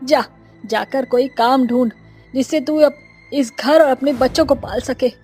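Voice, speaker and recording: A woman's voice: high voice, unhurried, dark tone, faint music behind. A woman voicing a harsh older mother-in-law in a cartoon, scolding and cursing.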